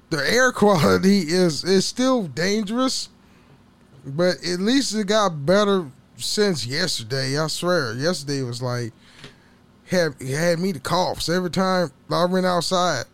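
A man hosting a casual solo podcast, rambling and swearing with frequent laughs, his voice a little hoarse. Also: sits high, animated, a little slow. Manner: rambling, profane, laughs often. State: slightly hoarse from waking up. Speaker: podcast host.

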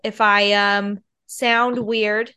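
A woman's voice: mid-range voice, little pitch movement, extremely slow, pushed and bright, smooth. Woman, 30s, nasal voice, stuffed up with a cold, casual podcast chat, confiding. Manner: chatty, confiding storyteller. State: sick with a cold. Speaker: podcast co-host.

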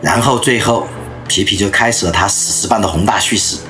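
A man in his thirties or forties giving a recorded lecture, telling stories in a lively way. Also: lowish voice, brisk, faint music behind. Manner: lively storyteller who acts out anecdotes.

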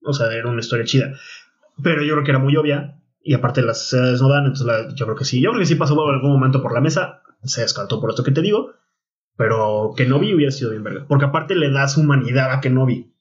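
A young man with a Mexican Spanish accent, co-hosting a podcast in casual chat, animated and slangy, often restarting his sentences. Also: medium pitch, fast. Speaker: podcast co-host. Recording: dull lo-fi podcast audio.

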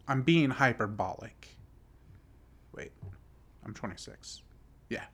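A man's voice: medium pitch, lively intonation, slow pace, a bit bright, husky. A man in his twenties, a podcast co-host, ranting casually and heatedly about his hockey team, filling his talk with "like". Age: 20s. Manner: heated, exasperated ranting with lots of "like" fillers. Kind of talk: casual sports chat.

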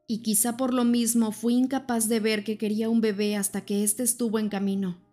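A woman reading a first-person story as an audiobook narrator, in an even, measured way. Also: a little high.

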